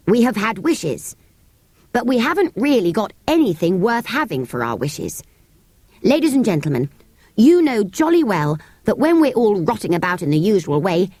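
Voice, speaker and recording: A woman's voice: on the high side, darkish tone, no room sound, full character voice. A woman voicing a pompous, bossy Edwardian schoolboy in a children's storybook, with an English Received Pronunciation accent and an oratorical manner. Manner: oratorical, self-important. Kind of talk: children's storybook character reading.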